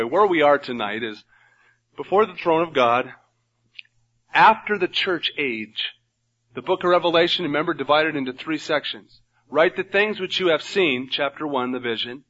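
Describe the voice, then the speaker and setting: A man's voice: a little high, a bit bright, dull audio. A man with a nasal voice leading a Bible study in a church room, praying and then teaching in an earnest, conversational way. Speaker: pastor. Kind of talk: Bible teaching sermon with prayer.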